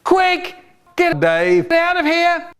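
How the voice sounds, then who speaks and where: A man's voice: a little high, very animated, a little slow. A man voicing an exasperated, strict female schoolteacher scolding her pupils in a comic story. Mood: shrill alarm.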